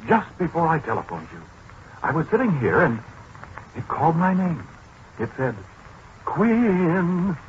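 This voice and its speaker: An actor playing a flustered, earnest, halting man in a 1940s radio drama. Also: fairly high pitch, big pitch swings, highs cut off. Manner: hesitant, halting, stammering. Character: flustered, earnest man convinced his machine talks. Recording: noisy, band-limited 1940s radio recording.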